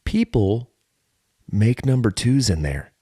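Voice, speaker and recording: A man's voice: mid-range voice, big pitch swings, slow pace, dark tone, husky, dry recording, clean studio sound. A man co-hosting a podcast in casual comedic banter, telling jokey stories with emphatic repetition. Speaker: podcast co-host.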